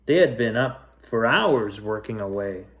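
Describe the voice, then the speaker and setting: A man's voice: on the low side, lively intonation, unhurried. A man narrating a children's storybook as a bedtime reader, telling it phrase by phrase.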